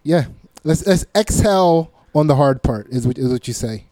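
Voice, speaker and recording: The fellow behind a small podcast desk, a mellow, slightly high male voice feeling its way through loose conversation. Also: animated, moderate pace, no echo. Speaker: podcast host. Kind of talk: casual conversational chat.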